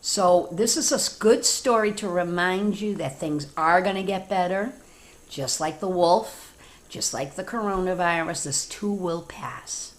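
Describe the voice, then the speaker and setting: A woman with an American accent reading a story aloud to children, animated and informal, with chatty asides. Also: on the low side, a little slow. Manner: animated, informal, talks directly to young children. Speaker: teacher reading stories to children.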